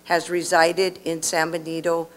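A middle-aged woman answering a school board's questions, quiet and matter-of-fact. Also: on the low side, completely flat delivery, unhurried. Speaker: district staff member answering the board. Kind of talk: answering questions at a public meeting. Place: school board meeting room. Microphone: podium microphone.

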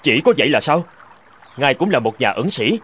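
An actor playing a self-righteous young scholar in a cartoon folk tale, pompous and argumentative. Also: male voice, mid-range voice, very animated, brisk, fairly bright tone, a little hiss or hum. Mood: offended, pompous. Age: young adult. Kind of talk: animated folk tale voice acting.

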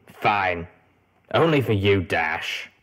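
A man voicing a gruff, sardonic female griffin mercenary in a storybook reading. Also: deep voice, animated, slow, fairly bright tone, full character voice. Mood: grudging.

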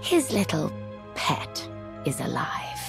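A woman playing a scornful, volatile villainess in a video game, creaky-voiced and emphatic in her contempt. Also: deep voice, huge pitch swings, takes it slowly, music in the background, heavily acted. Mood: contemptuous. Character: scornful villainous daughter of dead emperor, volatile.

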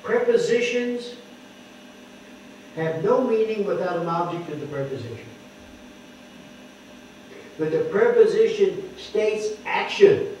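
An older man preaching at a lectern, explaining scripture word by word and stressing key words loudly. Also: high-pitched, lively intonation, slow, pauses often, distant mic, slight background noise. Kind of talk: sermon teaching scripture. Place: wood-paneled church hall, speaking at a lectern. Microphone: microphone on the lectern, heard from a distant camera. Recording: echoey hall heard from afar, dull.

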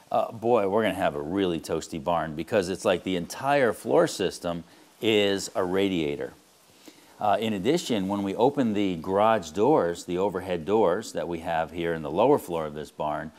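A middle-aged man with a low, deep voice guides a listener through an explanatory on-site tour as a home energy contractor. He sounds earnest and plain-spoken, dropping frequent "uh" fillers as he goes. He is recorded indoors in a wood-walled barn on a clip-on mic. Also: a little mellow.

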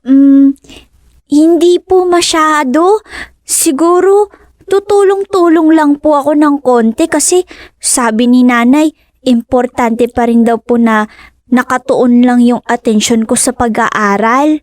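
A woman's voice: high-pitched, slow, darkish tone, no room sound, clean studio sound. A woman playing a fisherman's polite young daughter in a radio drama. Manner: polite, respectful. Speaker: voice actor.

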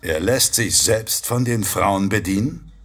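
A man acting in a German horror audio drama as a vengeful Santa, gruff, sardonic and threatening. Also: fairly low pitch, unhurried, fairly bright tone, no room sound, heavily acted. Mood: grimly determined.

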